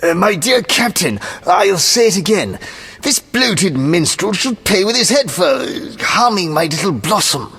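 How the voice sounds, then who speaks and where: Casting: officious, aggrieved older game alderman, high voice, wildly swinging indignant intonation. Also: very animated, measured pace, fairly bright tone, slightly rough, big-room sound, heavily acted. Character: pompous, aggrieved older male town alderman. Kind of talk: video game voice acting.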